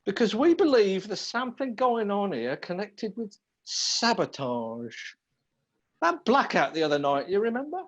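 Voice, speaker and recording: A woman's voice: mid-range voice, very animated, a little slow. A woman in a radio play voicing an earnest, apologetic male police detective sergeant. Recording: dull lo-fi streamed audio.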